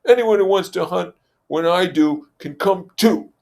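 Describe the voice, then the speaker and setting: Storybook boy hunter, played by a man: high, loud, enraged, humiliated and defiant. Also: measured pace, gravelly. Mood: enraged, tearful. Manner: defiant outbursts. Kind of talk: character voice in novel read-aloud.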